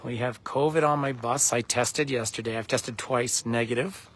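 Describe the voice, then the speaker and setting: A middle-aged man from Canada with a husky, croaky voice from a cold, chatting casually as a tour guide on a phone livestream outdoors, greeting viewers as he rambles. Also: mid-range voice, fairly even intonation, normal speed, a bit bright. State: has a cold. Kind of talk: live-streamed casual commentary to viewers. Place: outdoors at a geyser basin.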